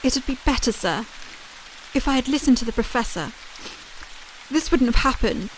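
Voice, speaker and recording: A woman's voice: a little high, expressive, normal speed, a little hiss or hum, lightly acted, low-quality recording. A woman playing a remorseful, deferential Victorian lady in an audio drama. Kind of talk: audio period drama acting.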